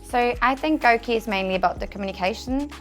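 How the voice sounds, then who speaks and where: A woman's voice: a little high, quick pace, faint music behind. A woman from Germany, talking chattily and casually as a hostel receptionist. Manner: chatty, acts out small examples. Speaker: hostel receptionist and travel agent. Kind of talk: casual testimonial.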